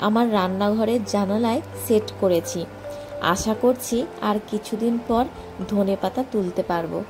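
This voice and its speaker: A woman with an Indian Bengali accent narrating her daily homemaker vlog in simple, conversational phrasing. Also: fairly high pitch. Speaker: homemaker vlogger and mother of a young child. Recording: music laid under the voice. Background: music.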